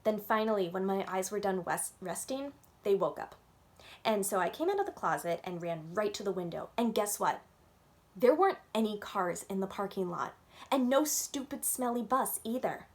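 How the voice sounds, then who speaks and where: A woman reading a children's book aloud as a storyteller, with expressive, childlike emphasis in a first-person narration. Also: mid-range voice, normal speed.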